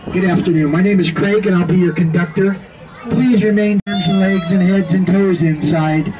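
A man's voice: high-pitched, steady tone, moderate pace, darkish tone. A man working as a tourist train conductor, giving playful, jokey announcements to the passengers. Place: outdoors on a small tourist train. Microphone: picked up from afar by passenger's camera. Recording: distant, with outdoor crowd noise.

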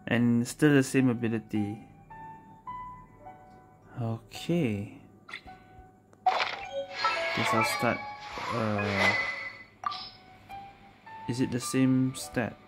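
A woman giving casual, low-key gameplay commentary, murmuring to herself as she plays. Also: extremely slow, pauses often. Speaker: video game streamer. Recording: game music behind the voice.

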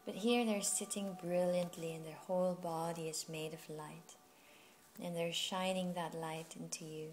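A woman leading a guided meditation as a yoga teacher, speaking in a soothing, unhurried way with long pauses. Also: lowish voice, fairly even intonation. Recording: music playing behind the voice. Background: music.

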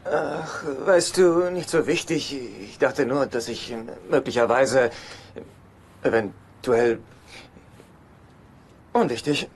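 A man's voice: medium pitch, slow pace, long pauses, lightly acted. An actor playing a neurotic, insecure middle-aged divorced father in a dubbed sitcom, speaking in a hesitant, earnest, fussy way. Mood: awkward, deflated. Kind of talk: German sitcom dubbing.

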